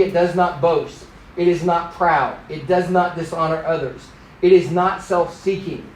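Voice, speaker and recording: A man in his fifties, raised in Thailand, leads a church class with a fairly high voice and plenty of teasing humor. He is recorded in an echoey room, with faint background noise behind him. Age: 50s. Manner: animated and joking, teasing the class.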